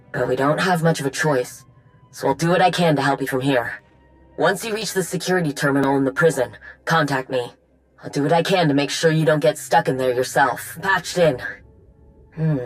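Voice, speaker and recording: A woman plays a resourceful tech ally in a sci-fi game. Her voice is low, and she talks in a practical, casual, determined way over background music. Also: natural voice. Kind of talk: video game voice acting.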